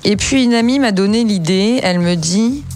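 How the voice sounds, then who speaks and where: A woman on morning radio telling a comic story as a columnist, animated, with mock-outraged asides. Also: medium pitch, normal speed, soft background music.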